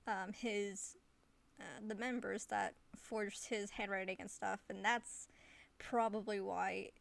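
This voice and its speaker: A woman chatting casually while streaming a game, giggly and halting as she restarts her thoughts. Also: medium pitch, creaky, fairly bright tone. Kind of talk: casual chat while playing a video game. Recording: faint background noise.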